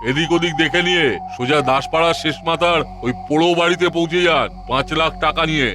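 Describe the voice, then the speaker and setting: Man voicing swaggering cartoon gang boss, highish voice. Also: fast talker, noisy background, natural voice. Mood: menacing. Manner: boastful, menacing. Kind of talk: comic cartoon dialogue.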